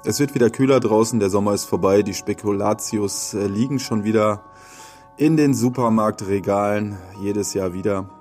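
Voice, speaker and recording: Male podcast host, fairly low and soft-edged, casual halting delivery, remote setup. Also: faint music behind. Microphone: remote connection, not in studio.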